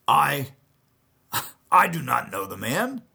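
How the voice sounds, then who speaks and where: A man's voice: mid-range voice, big pitch swings, slow, creaky, sharp tone, breathy edge, voice pushed up, heavily acted. A man voicing a frightened man who stammers as he denies knowing his friend. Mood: defensive. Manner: stammering denial.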